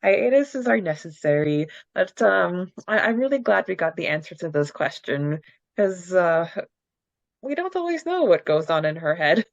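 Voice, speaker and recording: A woman co-host with a slightly low voice, talking in a thoughtful, supportive way with the odd halting restart. Her mic sounds dull and lo-fi, and the sound is dry and close. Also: very animated, creaky, no echo. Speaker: podcast co-host. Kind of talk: casual fan podcast chat.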